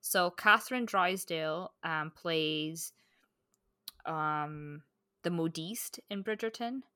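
A woman with a bright voice on the lower side talks casually about books as a podcast co-host. She is candid and sweary, often interrupting herself mid-thought. The recording is close and dry. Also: slow, smooth, no echo. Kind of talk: casual book chat.

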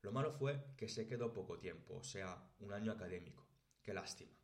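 A man's voice: fairly low pitch, a little fast, darkish tone. A man in his late twenties with a Spanish accent from Spain, narrating a scripted podcast as a host and teacher. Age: late 20s. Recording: roomy, echoey sound.

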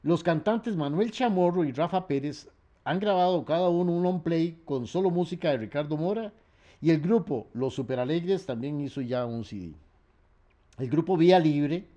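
Male radio host, mellow tone, long runs of facts. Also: fairly high pitch, lively intonation, moderate pace, lo-fi sound. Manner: reads facts in long runs, trails off with fillers. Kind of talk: relaxed radio talk about music history.

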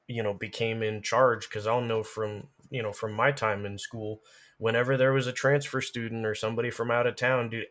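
A man speaks in a lowish voice. He explains things in an easy conversational way, as in a casual podcast discussion. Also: fairly bright tone. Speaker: podcast guest. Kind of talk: casual podcast discussion of game lore and strategy.

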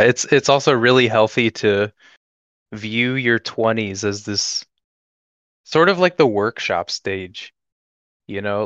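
A man's voice: fairly low pitch, a bit bright, clean tone, dry recording. A twenty-one-year-old man in casual podcast conversation, easygoing and joking, on a slightly dull recording. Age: 21, young adult. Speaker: podcast guest or co-host.

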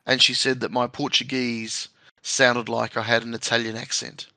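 Gravelly-voiced chatty male podcast host. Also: mid-range voice, flat delivery, moderate pace, sharp tone, no room sound. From Australia. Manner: chatty, laughs at his own stories. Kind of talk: casual podcast chat.

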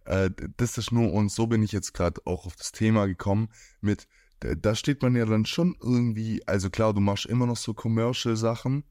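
A man's voice: lowish voice, moderate pace, darkish tone, no echo, studio quality. A man from near Stuttgart hosting an interview, asking long rambling questions in a casual tone. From near Stuttgart, Germany. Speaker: podcast host.

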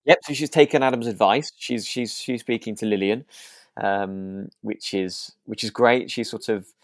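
A man's voice: lowish voice, moderate pace, dry recording. A British man co-hosting a podcast in casual chat, agreeable and a little rambling as he feels his way through sentences. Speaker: podcast co-host.

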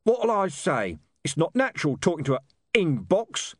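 A man's voice: on the high side, wildly swooping pitch, moderate pace, husky, no room sound, clean studio sound, pitched above the performer's own voice, full character voice. A man voicing a surly, dim male thug with a growling voice in a fantasy audiobook.

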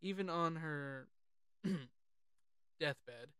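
An excitable, sweary podcast host chatting casually about films. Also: mid-range voice, extremely slow, smooth, no room sound, studio quality. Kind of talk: casual film chat with quoted lines.

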